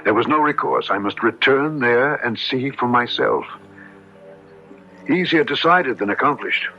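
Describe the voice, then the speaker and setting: An actor playing a haunted, earnest man in a radio drama, speaking gravely in formal, literary phrases. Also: medium pitch, lively intonation, measured pace, pushed and bright. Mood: grave, troubled. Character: haunted, earnest man trapped in a transformed body. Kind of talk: 1970s radio drama acting, first-person narration and dialogue. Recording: dull old radio broadcast recording. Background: dramatic music.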